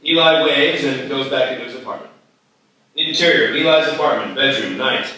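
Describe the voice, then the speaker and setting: Bright-voiced male narrator in echoey hall. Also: medium pitch, moderate pace. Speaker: stage direction narrator. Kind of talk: scripted narration at a live table read. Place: live festival reading in an echoey room. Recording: echoey hall, distant mic, faint noise.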